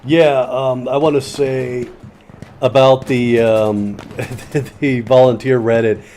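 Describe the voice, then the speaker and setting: Rambling, profane gamer man, mellow voice. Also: medium pitch, little pitch movement, slow, slight background noise. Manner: rambling, profane, reads and answers chat. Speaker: streamer. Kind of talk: casual commentary while playing a shooter game.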